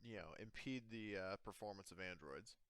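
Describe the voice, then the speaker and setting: A man improvising tabletop game narration as game master in a casual, halting, self-correcting way. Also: sits low, a bit bright, clean tone, no echo.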